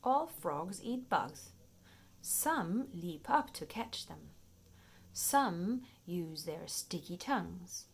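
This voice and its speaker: A woman reading a children's nature book aloud in an expressive, playful storytime manner, paced for young listeners. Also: on the low side, drawn-out words, gravelly, slight background noise. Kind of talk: read-aloud children's nonfiction storytime narration.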